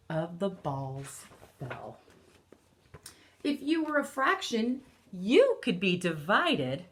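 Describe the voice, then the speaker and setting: A woman in her thirties, an elementary teacher, reading a picture book aloud as a lesson for children, explaining deliberately and repeating key phrases. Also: medium pitch, fairly bright tone. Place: living or dining room at home, indoors.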